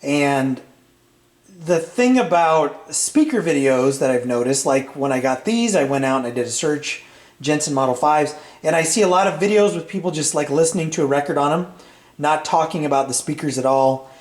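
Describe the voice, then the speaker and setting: A middle-aged man chatting casually and unscripted as a video host, in a halting, rambling way with hesitant phrasing. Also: on the high side. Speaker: vintage audio repair channel host. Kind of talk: casual unscripted product chat. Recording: roomy, echoey sound.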